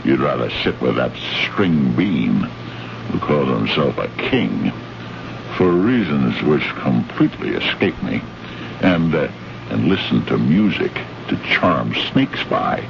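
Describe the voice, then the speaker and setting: An American actor playing a brash, greedy, condescending trade envoy from Earth in an old radio drama, talking in slangy, bullying fashion. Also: male voice, very deep voice, sing-song, slow pace, vocal fry, exaggerated voice. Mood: gloating, scornful. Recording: noisy, muffled old radio recording.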